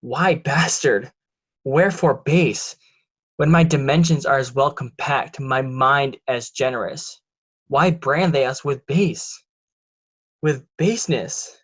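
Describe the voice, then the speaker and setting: A man playing a scheming, resentful illegitimate son in a deliberate, declamatory Shakespearean drama reading. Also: mid-range voice, a bit bright. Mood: bitter, defiant. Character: scheming, resentful bastard son, smooth deceiver.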